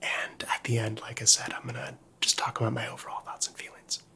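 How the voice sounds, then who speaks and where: A man speaking in a soft, breathy whisper, casually introducing an ASMR video to his viewers in a gently sincere, hesitant way. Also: mid-range voice, level tone, fairly quick. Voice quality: breathy whispering, often unvoiced.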